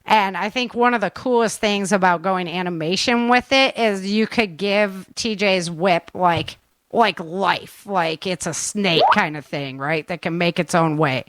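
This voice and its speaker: A woman's voice: on the low side, unhurried, a bit bright, no echo. A woman co-hosting a casual online chat, speaking informally and enthusiastically with plenty of slang. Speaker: co-host and audio drama producer. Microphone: online call.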